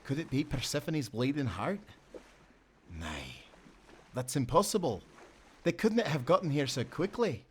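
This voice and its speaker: A man acting an earnest, higher-voiced sailor. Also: very animated, a little slow, long pauses, slight background noise. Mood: surprised, excited. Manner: earnest, friendly, seafaring turns of phrase. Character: young-hearted, loyal, good-natured sailor and former timberman. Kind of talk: cinematic nautical audio roleplay acting.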